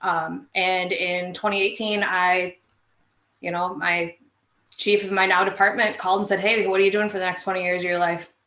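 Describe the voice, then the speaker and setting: Woman from wisconsin, bright sharp voice, echoey room, chatty and open. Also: lowish voice, level tone, moderate pace. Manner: open, chatty, hesitant fillers. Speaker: firefighter paramedic guest. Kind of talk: casual self-introduction.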